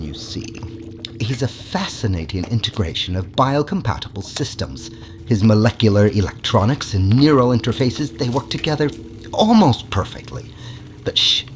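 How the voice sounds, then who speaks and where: Fussy, swooping alien mad scientist. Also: male voice, fairly low pitch, very animated, moderate pace, slight background noise. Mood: conspiratorial. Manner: theatrical, fussy, scolding, dropping into conspiratorial hushes. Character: eccentric, secretive alien scientist doctor, bug-eyed and fussy. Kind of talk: audio drama character acting.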